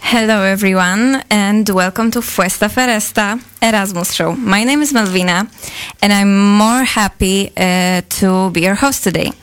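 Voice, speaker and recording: A woman's voice: mid-range voice, moderate pace, clean tone, soft background music. A young woman hosting a student radio show, reading a scripted intro and then asking guests questions with some fillers.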